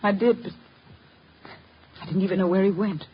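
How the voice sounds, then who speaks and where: An actress playing the defensive, anguished wife of a murder suspect, dramatic and evasive, in a 1950s radio drama. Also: female voice, mid-range voice, normal speed, natural voice. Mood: evasive, reluctant. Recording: muffled, hissy 1950 radio recording.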